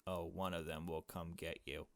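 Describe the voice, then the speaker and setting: A man's voice: low voice, flat delivery, normal speed, fairly bright tone, no room sound, pitched below the performer's own voice. A man playing an exasperated, dismissive male relative in a visual novel, impatient and curt.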